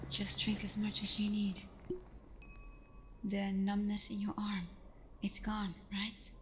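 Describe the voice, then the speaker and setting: Soft, even-toned vampire girlfriend played by a woman. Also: medium pitch, slight background noise, natural voice. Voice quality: soft, breathy, often near whisper. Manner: soothing, reassuring, unhurried. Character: gentle, protective vampire girlfriend, caring and patient. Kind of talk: ASMR roleplay.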